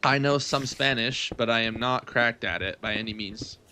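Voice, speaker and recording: Bright-voiced young man streaming. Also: medium pitch, normal speed, a little hiss or hum, poor audio. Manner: rambling, thinking aloud. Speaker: livestream gamer host. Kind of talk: casual chat while gaming, answering chat.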